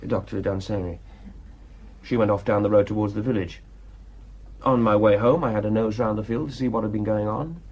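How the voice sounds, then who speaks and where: A man's voice: fairly low pitch, fairly quick, darkish tone, dull audio. An actor playing a cynical, defiant male painter in a radio drama, telling his tale wryly and without remorse. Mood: musing.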